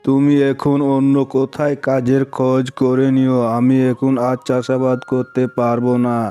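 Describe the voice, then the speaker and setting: An actor playing a burdened but reasonable village moneylender, speaking in a measured, explanatory way for a cartoon story. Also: male voice, medium pitch, monotone, warm tone, clean tone, lightly acted. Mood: regretful. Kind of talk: cartoon moral story dialogue. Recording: music behind the voice.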